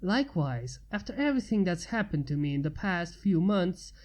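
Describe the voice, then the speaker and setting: A woman voicing a wounded male mage, a polite, weary stranger who speaks weakly and haltingly, for a fantasy storybook. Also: lowish voice, very animated, a little mellow. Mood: weary, friendly. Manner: weak, halting, courteous.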